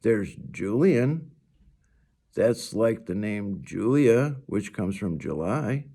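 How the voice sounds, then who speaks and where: A reserved young schoolboy from a storybook, read by a man, answering shyly and a bit hesitantly. The delivery is slow, with a gravelly edge. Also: fairly low pitch, lively intonation, mellow, heavily acted. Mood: tentative, friendly.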